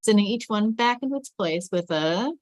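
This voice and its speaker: A woman's voice: medium pitch, animated, normal speed, no echo. Woman narrating a script, very dry sound. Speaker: narrator reading stage directions. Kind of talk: scripted narration at a table read.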